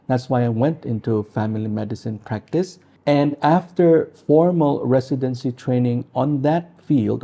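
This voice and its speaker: A man in his forties from the Philippines, a doctor answering interview questions in a measured, explanatory way. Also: medium pitch, gravelly, mellow. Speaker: integrative medicine doctor.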